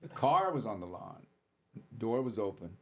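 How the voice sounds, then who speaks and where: A man's voice: fairly low pitch, very animated, moderate pace, fairly bright tone, slightly rough. An older man, a comedian guest on a podcast, telling anecdotes in a dry, matter-of-fact way and acting out the people in his stories. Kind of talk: casual podcast storytelling.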